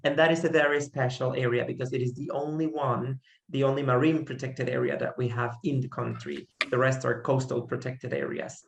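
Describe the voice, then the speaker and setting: A young man with a Guatemalan Spanish accent and a fry-edged voice talks through a presentation at a middle pitch. He sounds friendly and earnest, and he fills his speech with ums and restarts. The video call audio has a noticeable room echo. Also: steady tone, fairly quick. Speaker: conservation organization founder. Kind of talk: online presentation talk.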